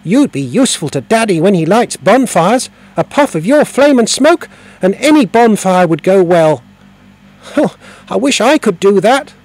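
A man voicing a high, earnest little boy. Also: very animated, moderate pace, darkish tone, heavily acted, low-quality recording. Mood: longing. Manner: hesitant, stammering and earnest. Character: polite, curious young boy.

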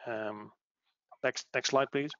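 A man explaining business material in a webinar in a measured, halting way over an online call. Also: medium pitch, steady tone, fairly bright tone, dry recording. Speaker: webinar presenter, tax expert. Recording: dull lo-fi online audio.

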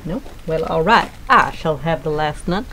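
A woman's voice: low-pitched, lively intonation, moderate pace, fairly bright tone, a little hiss or hum, rough recording. A woman playing a prim, oblivious castaway with a formal, mannered delivery in sketch comedy. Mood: politely unruffled. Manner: mannered, formal, politely self-serving. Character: prim, oblivious, genteel castaway on a life raft.

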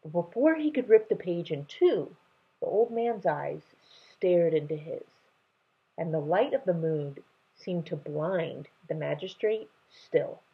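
A young woman reads a story aloud in a low, husky voice. Her delivery is lively and expressive. The sound is a little dull, like a webcam in a room at home. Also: unhurried. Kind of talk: expressive reading of a children's novel.

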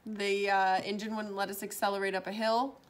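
A woman's voice: mid-range voice, level tone, a little fast. A young woman in her twenties chatting casually to a phone held at arm's length as a travel vlogger, taking setbacks in stride. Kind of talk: casual road-trip vlog chat. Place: motel room, car and outdoors.

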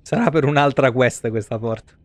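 Man streaming games, fairly high voice. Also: moderate pace, no room sound. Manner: sarcastic, foul-mouthed, rambling. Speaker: video game streamer. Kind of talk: casual live game commentary. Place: small room at home.

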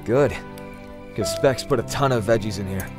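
A man's voice: lowish voice, moderate pace, close to an everyday voice. A man playing a young prince in an audio drama, a teasing but caring brother with a casual, offhand manner. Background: music.

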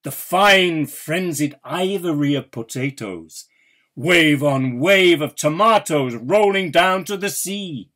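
A man, an actor, reciting a poem dramatically in a measured, theatrical way with emphatic pauses. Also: fairly high pitch, lively intonation, pushed and bright.

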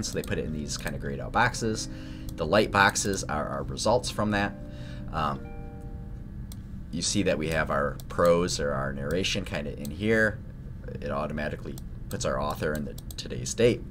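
Male lecturer, 30s, sick with a cold, very low voice, loose chatty delivery, music bed. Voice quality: deeper than usual from illness. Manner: relaxed, loose, self-deprecating, many fillers. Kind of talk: screen-share coding tutorial. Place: home office at a desk. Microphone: headset.

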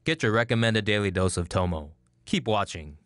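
A man's voice: fairly low pitch, lively intonation, moderate pace, fairly bright tone, faint music behind. A man reading a scripted news voice-over, closing with a dry joke. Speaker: news narrator.

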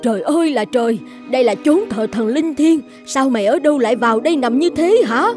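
A woman's voice: sits high, lively intonation, fairly quick, over music. A woman voicing the bossy, fussy middle-aged wife of a rich official in a folk-tale cartoon. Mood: scolding.

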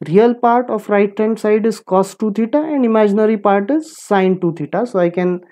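A woman lecturing in mathematics, explaining step by step in a deliberate, instructive manner and posing questions that she answers herself. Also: mid-range voice, dark tone. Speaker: physics lecturer.

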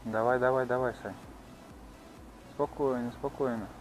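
A man's voice: medium pitch, fairly even intonation, normal speed, soft background music. A man chatting casually as he films a fishing outing on a pond bank. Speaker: angler filming a fishing outing. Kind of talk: casual chat while fishing. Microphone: handheld camera. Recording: noisy outdoor recording, insect hum.

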